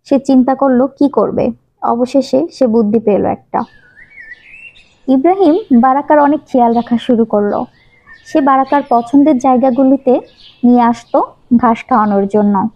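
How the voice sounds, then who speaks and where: A woman reads a scripted children's story as narrator. Her voice is fairly high, and the recording is dull and lo-fi. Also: normal speed, pauses often, warm tone. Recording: dull, lo-fi sound.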